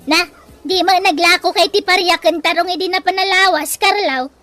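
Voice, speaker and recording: A woman's voice: very high voice, steady tone, moderate pace, sharp tone, faint music behind. An actress playing a brash, vain housewife and mother who is always borrowing, in a sassy radio comedy-drama style. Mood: scolding.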